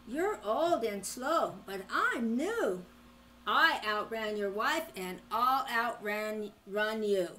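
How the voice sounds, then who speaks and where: A woman's voice: a little high, expressive, slow pace, sharp tone, a little hiss or hum, full character voice, rough recording. An older woman voicing a small, cocky gingerbread man in a storybook, boasting in a sing-song way. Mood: cocky, teasing.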